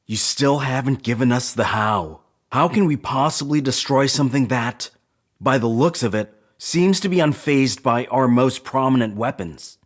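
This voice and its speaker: A man's voice: mid-range voice, lively intonation, moderate pace, natural voice. A man playing a skeptical, cautious spaceship crew officer in an audio drama, questioning and blunt.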